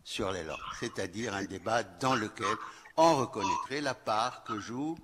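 An older Tunisian man, a professor of French, speaking on a panel in a measured, reflective way, with hesitant fillers and a handheld mic. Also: on the low side. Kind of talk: round-table talk. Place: large festival hall with a stage curtain. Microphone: handheld microphone. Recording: reverberant hall, noisy background.

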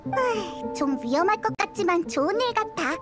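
A woman voicing a tiny, excitable fairy companion in a game, childlike, whiny and easily alarmed. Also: normal speed, music in the background. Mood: doubtful, grumbling. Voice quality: squeaky, childlike. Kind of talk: Korean game voice acting.

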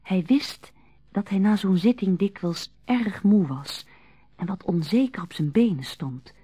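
Mellow, husky woman narrator. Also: mid-range voice, animated, a little slow. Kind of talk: scripted radio drama narration.

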